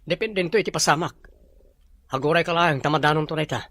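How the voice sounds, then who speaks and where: Bright-voiced stubborn heir played by a man. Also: fairly high pitch, fast talker, no echo, close to an everyday voice. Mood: irritated, dismissive. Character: stubborn businessman's son avoiding marriage. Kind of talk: Ilocano radio-style drama acting.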